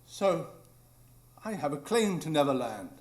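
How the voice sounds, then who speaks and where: An actor playing a brilliant, brooding detective in a live radio drama, speaking in an intense, theatrical way. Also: male voice, fairly high pitch, very animated, measured pace, darkish tone, echoey room. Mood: guarded, probing.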